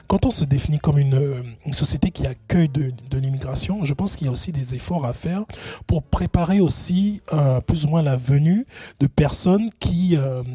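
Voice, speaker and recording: A man's voice: on the high side, dark tone, slightly rough, no echo. A middle-aged man answering interview questions at length, hesitant, with many fillers and restarts. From Benin, raised in Gabon. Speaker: guest, entrepreneurship consultant.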